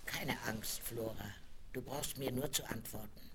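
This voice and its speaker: Elderly circus seer: very low, unhurried, motherly and probing, 1970s radio drama on noisy record. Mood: quiet, probing. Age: older. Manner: slow, soothing, probing questions. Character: old Serafina, motherly circus fortune-teller woman. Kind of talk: 1970s radio play acting. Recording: noisy vinyl rip with clicks.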